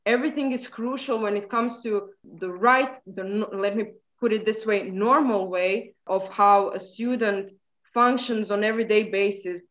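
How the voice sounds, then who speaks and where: A woman's voice: medium pitch, normal speed, a bit bright, muffled recording. A young woman from Croatia, an undergraduate speaking earnestly in an interview. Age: young adult. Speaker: undergraduate student guest. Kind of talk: casual interview answers.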